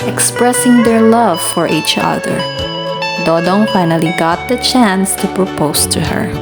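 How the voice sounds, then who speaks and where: A woman's voice: mid-range voice, unhurried. Woman narrating over background music. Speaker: radio host and narrator. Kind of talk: scripted radio drama narration. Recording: music playing behind the voice.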